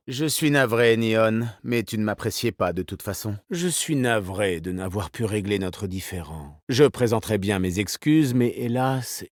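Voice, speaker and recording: An actor playing a suave, vain, elegant male weapons designer in a video game, with a theatrical, smug and courteous, smoothly ironic manner. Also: fairly low pitch, no echo, studio quality. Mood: smug, cold. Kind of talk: video game character voice lines.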